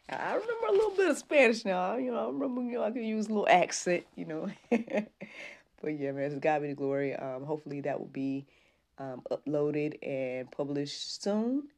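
A woman's voice: low-pitched, animated, moderate pace, vocal fry. A woman hosting a casual faith-based livestream, chatting informally and devoutly with frequent praise and interjections. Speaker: podcast host.

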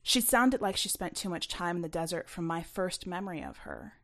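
A woman reading her own short story aloud as expressive first-person narration. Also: lowish voice, a little fast.

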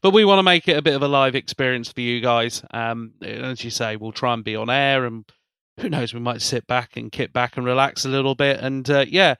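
A man's voice: mid-range voice, fairly quick, fairly bright tone, clear, resonant tone, no echo, studio quality. A man hosting a podcast in casual chat, rambling and often repeating words as he restarts his sentences. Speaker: podcast host.

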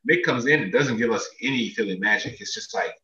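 A man's voice: medium pitch, brisk, pushed and bright. A man guesting on a sports podcast, bantering casually and emphatically, repeating words for effect. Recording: dull, roomy remote connection, distant mic.

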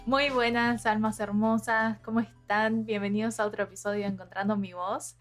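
A woman with an average-pitched voice hosts an interview podcast. Her delivery is conversational, effusive and enthusiastic. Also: level tone, normal speed, faint music behind.

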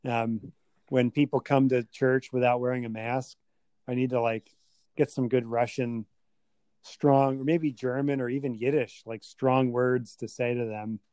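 A man's voice: mid-range voice, fairly even intonation, unhurried, a little mellow, clean tone, no room sound. Tired rambling man, dry close sound. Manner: rambling, many fillers. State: tired after long day. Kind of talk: casual chat.

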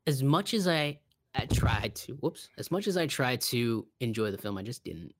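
A man's voice: medium pitch, sing-song, normal speed. A man co-hosting a podcast, talking casually about film in an informal way with some swearing. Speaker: podcast co-host.

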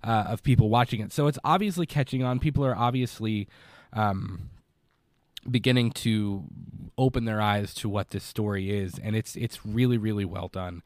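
A man's voice: fairly low pitch, moderate pace, a little mellow, dry recording. A young man with a stuffed-up, congested voice hosting a casual movie podcast from his basement, rambling and repeating words. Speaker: podcast host. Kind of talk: casual live podcast review.

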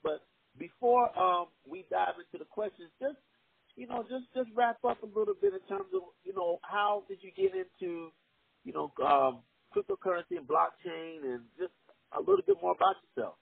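A young man hosting a podcast, reading sponsor spots with energetic hype and chatting casually with his guest. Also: moderate pace, creaky, slightly rough, narrow, tinny audio. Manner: energetic, hype-driven pitch with repeated "all right". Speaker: podcast host. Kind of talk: casual podcast ad reads and interview.

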